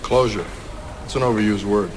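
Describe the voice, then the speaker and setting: A shrewd male police detective played by an actor with a low voice and a dry, needling, understated manner. It is crime audio drama, with faint noise and ambience behind him. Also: moderate pace, lightly acted.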